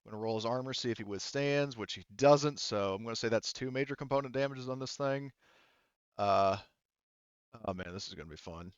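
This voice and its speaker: A man with a smooth, clear voice runs a tabletop roleplaying game in an informal way, thinking aloud through the rules. The recording is very dry and slightly dull. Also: medium pitch, fairly quick, no room sound. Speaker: game master. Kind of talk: casual tabletop game narration with dice rulings.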